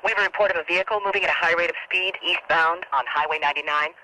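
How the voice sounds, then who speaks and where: A man's voice: a little high, steady tone, very fast. A man playing a formal, procedural police dispatcher in a video game, heard through a noisy radio filter. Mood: businesslike. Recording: loud static-like radio noise.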